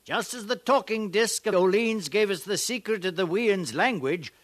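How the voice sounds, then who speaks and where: An actor playing a proud, pedantic elderly professor in a 1950s radio drama, speaking in a formal academic manner. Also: male voice, high-pitched, moderate pace, a bit bright, dry recording. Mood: proud, scholarly. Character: elderly distinguished professor of ancient languages, proud, pedantic, easily flustered.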